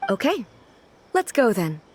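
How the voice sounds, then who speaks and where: A woman's voice: fairly high pitch, big pitch swings, unhurried, a little mellow, a little hiss or hum. A woman playing a dignified, earnest and naively curious ruler in an anime game, speaking in formal, inquisitive phrases. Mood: composed. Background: music.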